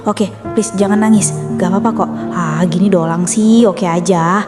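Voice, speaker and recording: A woman's voice: mid-range voice, fairly even intonation, a little slow, natural voice. Woman voicing a timid bullied schoolgirl, inner monologue, heavy echo and long reverb, music behind. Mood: ashamed. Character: shy bullied teenage schoolgirl. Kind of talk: cartoon voice acting. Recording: echoey reverb over music.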